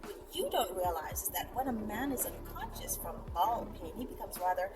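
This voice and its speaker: A woman's voice: mid-range voice, wildly swooping pitch, moderate pace. A domineering woman played by a female narrator in a dramatized story. She is commanding and instructive, stressing her words with scheming control. Music plays behind her and the microphone is slightly distant. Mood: smug, controlling.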